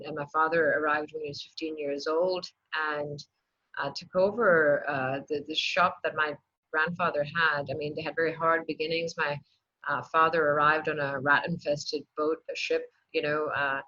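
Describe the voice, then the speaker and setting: A woman in her sixties from Singapore, an entrepreneur answering interview questions enthusiastically with lots of fillers and restarts. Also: low-pitched. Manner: many fillers and restarts, laughs easily, warm enthusiastic. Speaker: entrepreneur guest. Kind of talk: candid interview conversation. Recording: dull lo-fi sound.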